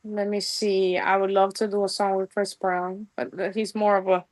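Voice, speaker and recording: A woman's voice: mid-range voice, little pitch movement, moderate pace, darkish tone. A young woman from New York with a New York accent, gushing in a casual podcast interview and mixing in street slang. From New York, USA. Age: young adult. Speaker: guest musician.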